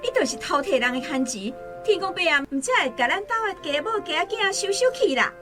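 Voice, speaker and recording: A woman with a Taiwanese accent playing a sharp-tongued but soft-hearted mother in a radio drama. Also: very high-pitched, sing-song, fairly quick. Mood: angry scolding. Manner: scolding, exclamatory. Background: music.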